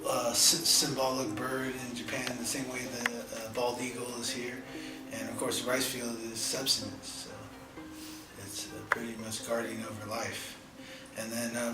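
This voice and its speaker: A man from California, a poet and educator, answering interview questions in a reflective, halting way with many fillers. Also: mid-range voice. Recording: roomy echo, distant mic, music.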